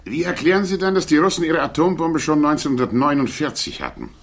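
An actor playing a sceptical hearing board member who puts formal, challenging questions, in 1960s German radio drama style. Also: male voice, fairly high pitch, animated, fast talker.

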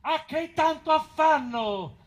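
A man's voice: very high voice, expressive, slow, full character voice. A man acting a sardonic, haughty chronicler of old Rome in a theatrical drama. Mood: mocking, declamatory. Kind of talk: theatrical declamation.

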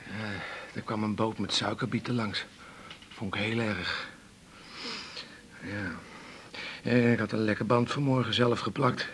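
An actor playing an odd, rambling, prickly male patient in a 1980s radio drama, with a creaky voice and colloquial, fidgety delivery. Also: lowish voice, fairly even intonation, measured pace, a bit bright, a little hiss or hum. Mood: chatty, rambling.

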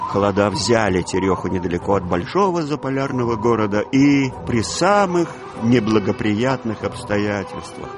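A man reading scripted literary narration for a radio drama, measured and colloquial in his storytelling. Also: lowish voice, lively intonation. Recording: music bed behind the voice.

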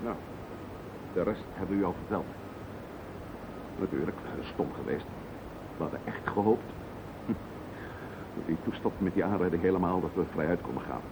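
An actor playing a callous, scheming murderer in a radio play, blunt and cynical, with a gravelly voice. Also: male voice, low-pitched, moderate pace, long pauses, close to an everyday voice. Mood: resigned, cynical. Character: callous scheming wife-murderer confessing without remorse. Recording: tinny, noisy old radio play recording.

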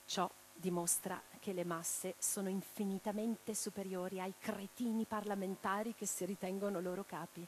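A woman's voice: mid-range voice, fairly even intonation, normal speed, few pauses, a little mellow, slight background noise, natural voice, low-quality recording. An actress playing an impassioned middle-aged socialist revolutionary woman in a theatrical radio drama. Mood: weary, subdued. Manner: declamatory in speeches, intimate in private moments.

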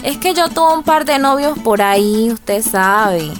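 A very high, girlish voice of a feisty young Colombian woman. She is sassy and plain-spoken, standing her ground in a radio play, with faint music behind her. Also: animated, unhurried. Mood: playful, sassy. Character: spirited, defiant young Colombian woman, from thirteen to adult. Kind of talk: radio drama acting.

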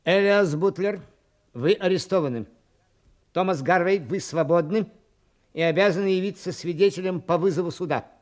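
Audio drama, male: stern commissioner played by an actor, official and clipped, fairly high register. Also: moderate pace, lightly acted. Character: formal, stern police commissioner. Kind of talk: audio play acting.

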